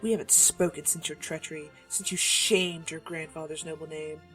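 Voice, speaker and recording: A woman's voice: low-pitched, fairly even intonation, normal speed, a bit bright, soft background music, poor audio. A woman playing an imperious, scornful vampire aristocrat in an audio drama, haughty and theatrical. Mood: scornful, indignant.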